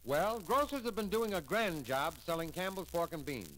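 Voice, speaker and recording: A man hosting an old-time radio commercial with brisk, jovial salesman patter. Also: a little high, lively intonation, poor audio. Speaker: radio show host.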